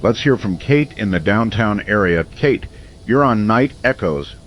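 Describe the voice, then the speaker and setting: An actor playing a grave late-night radio host, reporting on a crisis in a solemn, measured and deliberate way. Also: male voice, on the low side, lively intonation. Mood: grave, urgent. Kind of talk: dramatic radio news narration. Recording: clear background noise, muffled lo-fi sound.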